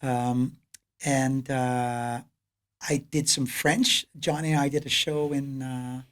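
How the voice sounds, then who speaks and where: A Canadian man chatting as a podcast guest, telling lively, upbeat stories about performing. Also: mid-range voice, takes it slowly. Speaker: podcast guest, piano entertainer. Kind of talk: casual podcast conversation.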